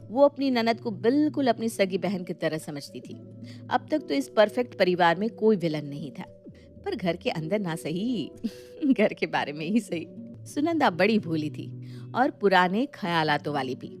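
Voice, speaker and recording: A woman's voice: fairly low pitch, expressive, a little slow, a little mellow, faint music behind. A woman narrator delivering scripted storytelling for a family moral tale.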